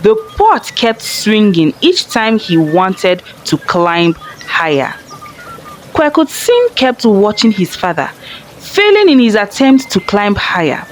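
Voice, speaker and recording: A woman narrating a children's folktale in an expressive, dramatic storytelling manner. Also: medium pitch, slow pace, poor audio. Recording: faint background noise.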